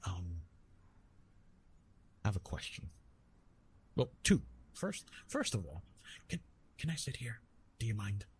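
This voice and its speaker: Slow, low-voiced retired love god, played by a man. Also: sing-song, slight background noise. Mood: gentle, curious. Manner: hesitant, halting, teasing, with repeated words. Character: retired god of love Eros, gently amused, bashful, ancient. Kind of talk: ASMR roleplay, intimate monologue to listener.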